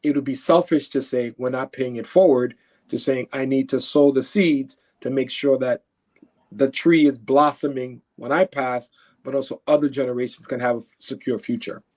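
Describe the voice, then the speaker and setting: Male expert guest from Haiti, mid-pitched, conversational and emphatic, explains by example. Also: fairly even intonation, moderate pace, darkish tone. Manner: explains through imagined first-person quotes; emphatic, often checking with "right?". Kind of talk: conversational interview answers.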